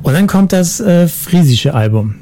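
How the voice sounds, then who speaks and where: A man interviewing a musician, asking calm questions and giving short murmurs of agreement. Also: on the high side, measured pace, slight background noise.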